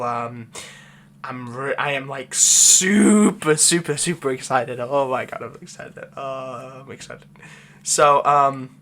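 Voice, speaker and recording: A man's voice: mid-range voice, a bit bright, a little hiss or hum. Rambling young man chatting casually. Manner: rambling, full of fillers. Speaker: ASMR roleplay creator. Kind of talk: casual chat to viewers.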